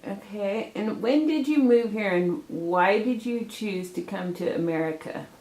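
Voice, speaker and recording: A woman's voice: mid-range voice, unhurried, darkish tone. A young woman conducting a student interview, reading prepared questions with brief reactions. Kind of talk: casual interview. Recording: echoey room.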